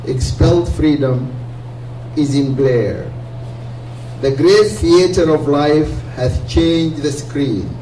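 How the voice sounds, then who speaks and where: A man hosting an event, reciting a poem in a slow, declamatory way. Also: on the high side, dark tone. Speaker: event host. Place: small community hall. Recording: roomy hall, faint hum, from far off.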